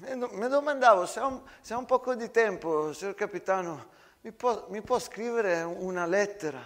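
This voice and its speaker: High-pitched, stammering simple soldier. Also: male voice. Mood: timid, pleading. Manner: halting, stuttering, excitable. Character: simple, stammering, eager young soldier struggling with ranks.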